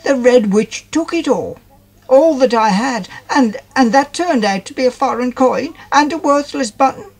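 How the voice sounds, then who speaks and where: Man putting on a high-pitched, excitable girly piggy. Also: moderate pace, heavily acted, poor audio. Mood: tearful dismay. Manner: gasping, squealing, easily dismayed. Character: excitable, tearful young female toy piggy bank. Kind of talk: children's storybook character voice.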